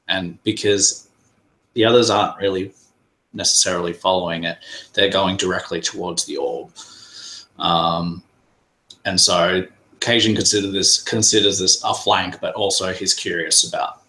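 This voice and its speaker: Low slow guy, lots of ums, kinda echoey room, thinking out loud about his move. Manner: hesitant, many fillers. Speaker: player. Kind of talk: tabletop game play.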